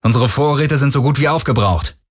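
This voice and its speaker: A man's voice: medium pitch, fairly quick, husky, pitched above the performer's own voice, lightly acted. A man voicing a rugged, cunning boss in an audiobook, firm and commanding in manner. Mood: pleading. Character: rugged, cunning male boss, combative and urgent.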